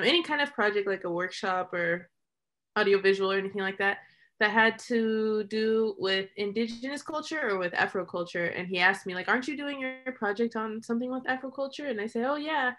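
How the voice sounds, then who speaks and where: A woman's voice: mid-range voice, sharp tone. A young American woman, a documentary filmmaker, talks casually and discursively about their work on a video call, often saying "like". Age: young adult. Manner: informal, discursive, frequent "like", chuckles while talking. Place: small room at home, indoors. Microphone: computer mic on a video call.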